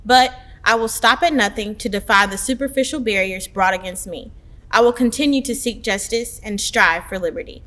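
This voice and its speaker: A woman's voice: mid-range voice, normal speed, a bit bright. A woman student delivering a scripted personal monologue. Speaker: student speaker.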